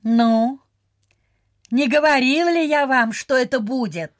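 A woman's voice: sits high, slow, no echo, studio quality. An actress playing a gossipy, self-satisfied provincial landowner lady in a radio play, effusive and emphatic. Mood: smug reproach.

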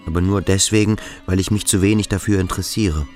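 An actor playing a stern, brooding boarding-school pupil who probes and commands in a German radio play. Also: male voice, sits low, fairly even intonation, quick pace, a little mellow, faint music behind, lightly acted. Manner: insistent, probing, commanding.

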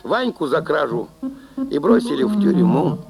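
A man narrating a folk tale as an animated storyteller in an audio play. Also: on the high side, moderate pace, vocal fry, loud music behind the voice.

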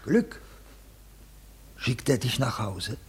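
An actor playing an insistent, weary ship's captain with a hoarse voice in a 1950s radio drama. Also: male voice, mid-range voice, big pitch swings, takes it slowly, exaggerated voice. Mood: urging. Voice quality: hoarse, gravelly.

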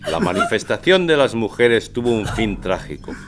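A man's voice: fairly low pitch, sing-song, normal speed, noisy background. An actor playing a grieving man who reads old news aloud, his voice broken with pain, in a radio drama. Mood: solemn. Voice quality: broken, pained voice. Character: grieving male neighbour reading 1918 news aloud. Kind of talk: dramatic radio fiction monologue. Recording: music behind the voice.

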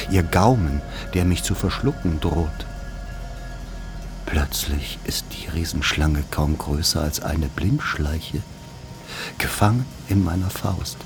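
A man from the Upper Palatinate in Bavaria narrating a literary radio play in a deliberate, dramatic, poetic declamation. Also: low voice. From northern Upper Palatinate, Bavaria. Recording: music behind the voice. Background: music.

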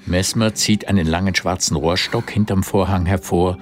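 Low-voiced male literary narrator. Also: steady tone, moderate pace. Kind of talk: literary scripted narration in a radio drama.

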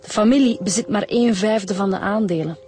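An actress playing a direct, inquisitive police inspector with a Flemish accent in a radio drama. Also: female voice, mid-range voice, fairly quick, lightly acted. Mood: informative.

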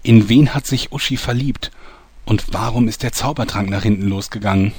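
A man's voice: on the low side, moderate pace, darkish tone, breathy edge, no room sound. A man playing a theatrical, mock-suspenseful storyteller narrating a scripted parody soap. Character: storytelling narrator, a mock-dramatic fairy-tale uncle.

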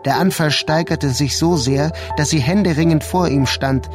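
A man narrating a literary radio drama in a measured, sober and deliberate way. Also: on the high side. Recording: music clearly behind the voice.

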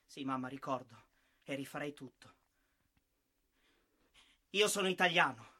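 An actor playing a bitter, disillusioned young soldier killed in war, in a husky, theatrical monologue. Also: male voice, a little high, a little slow, pushed and bright. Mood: proud. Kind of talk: dramatic stage monologue, radio drama.

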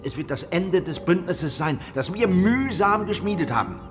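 A man's voice: sits high, very animated, moderate pace. Fervent rebel man in a reverberant hall. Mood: insistent, urging. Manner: impassioned, rhetorical, insistent. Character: fervent, defiant male rebel of the Peasants' War. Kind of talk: audio drama dialogue. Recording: long hall reverb, music underneath.

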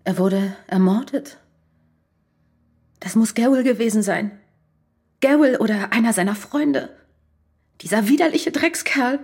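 An actress playing a strained, defiant wife with blunt, curt delivery in a radio crime drama. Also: female voice, a little high, a little slow, long pauses, darkish tone, breathy edge. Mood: shocked, bitter.

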